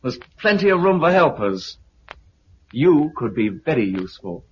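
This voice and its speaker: A man with a British accent playing a brisk, confident recruiter for a survivors' community in a 1950s radio drama. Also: mid-range voice, big pitch swings, lightly acted. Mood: persuasive. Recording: muffled, noisy 1950s radio recording.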